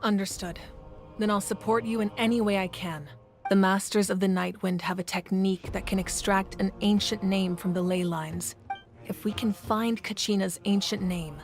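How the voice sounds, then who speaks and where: Game casting: commanding female ruler, acted by a woman, mid-range voice, measured and grave, music underneath. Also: mid-range voice, darkish tone, lightly acted. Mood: resolute. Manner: measured, deliberate, serious. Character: composed, authoritative adult female leader and war deity. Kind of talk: video game character acting.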